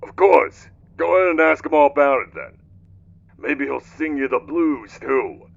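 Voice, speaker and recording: A man playing a gruff, sarcastic, foul-mouthed older space freighter crewman in a fan-dubbed video game. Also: on the high side, lively intonation, unhurried, dull audio, full character voice. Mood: scornful. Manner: gruff, sardonic.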